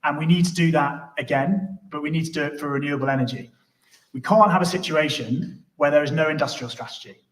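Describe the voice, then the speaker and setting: A man's voice: fairly high pitch, fairly quick. A man speaking as a candidate at a hustings, emphatic and repeating phrases to press his points. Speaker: parliamentary candidate. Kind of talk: hustings speech. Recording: roomy, distant from microphone.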